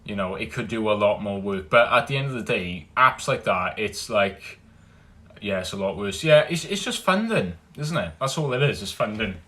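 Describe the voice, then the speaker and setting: A man's voice: expressive, moderate pace. Upbeat Welsh guy chatting on a livestream. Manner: greets viewers loudly and energetically, drops to a low mumble when musing. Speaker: livestream host. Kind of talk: casual livestream chat reading comments.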